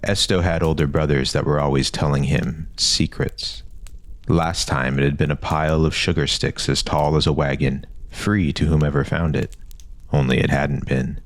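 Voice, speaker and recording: Man, extremely low voice, scripted fantasy narration, dry close sound. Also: fairly even intonation, moderate pace, dry recording.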